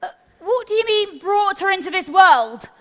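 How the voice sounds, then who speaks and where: A woman's voice: extremely high pitch, expressive, moderate pace. A woman playing a flustered, anxious queen and mother in a live pantomime, projecting theatrically across a hall. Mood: grateful, puzzled. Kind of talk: live pantomime stage acting. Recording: echoey theatre hall, long reverb.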